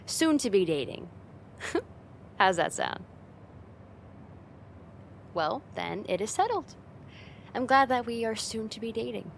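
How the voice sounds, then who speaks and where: A woman acting as a playful young woman in an intimate ASMR roleplay, teasing her sweetheart in a casual, conversational way. Her voice sits at an ordinary female pitch, with a faint low rumble of noise behind it. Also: creaky. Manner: teasing, giggly, soft sighs, casual hesitant phrasing.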